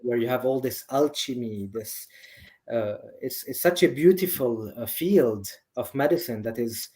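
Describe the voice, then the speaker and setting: Male doctor as interview guest, mid pitched voice, chatty answers, into his subject. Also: unhurried, dark tone. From Lebanese family, grew up in several countries.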